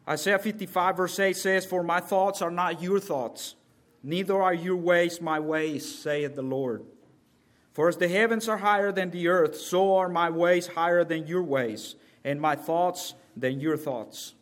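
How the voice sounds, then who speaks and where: A man from El Salvador, preaching a sermon as a guest preacher and building from quiet words to loud emphasis. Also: sits high, steady tone, measured pace.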